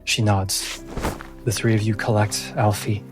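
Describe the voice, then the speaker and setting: A man's voice: fairly low pitch, level tone, unhurried, over music. A man hosting a tabletop roleplaying game as game master. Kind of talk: tabletop game narration and casual recap.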